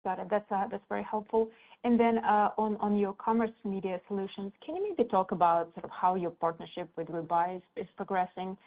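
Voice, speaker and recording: A woman's voice: mid-range voice, a little fast. A woman asking questions as a financial analyst on a business call, polite and tentative in her phrasing. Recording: thin muffled telephone line.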